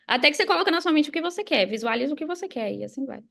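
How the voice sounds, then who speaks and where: A Brazilian woman with a very high voice that often breaks into vocal fry. She talks casually as a podcast guest, coaching with emphatic repetition and simple examples. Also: expressive, racing, fairly bright tone. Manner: emphatic, repeats key phrases, uses examples and acted quotes. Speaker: podcast guest, affiliate marketer and mindset coach. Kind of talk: casual motivational explaining on a podcast.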